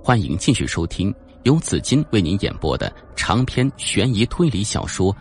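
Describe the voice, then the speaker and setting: A man with a low, gravelly voice reads a suspense novel aloud as an audiobook narrator. His delivery is dramatic and animated, moving widely in pitch, over faint music. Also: moderate pace.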